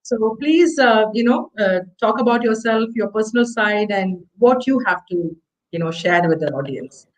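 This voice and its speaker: A woman's voice: on the high side, expressive, normal speed, slight background noise, poor audio. A woman with an Indian accent, hosting an online fireside chat in a chatty, effusive, rambling way. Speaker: host introducing a guest speaker.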